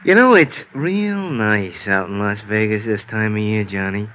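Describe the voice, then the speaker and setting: A man's voice: on the low side, lively intonation, measured pace. A man acting a sly, menacing American ex-convict in a 1950s radio crime drama, insinuating and smug. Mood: sly, coaxing. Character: sly, menacing male ex-convict murder suspect. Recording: muffled, hissy vintage radio recording.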